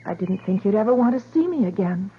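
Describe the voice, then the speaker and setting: An actress playing a concerned, earnest woman who reasons gently and persuasively in a 1970s radio drama. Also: medium pitch, lively intonation, fast, noisy background, close to an everyday voice. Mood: gentle, careful. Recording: dull vintage radio recording.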